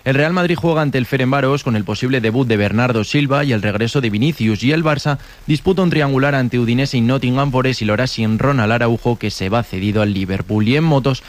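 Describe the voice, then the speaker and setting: A man presenting a short sports bulletin on the radio in a steady, informative way. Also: mid-range voice.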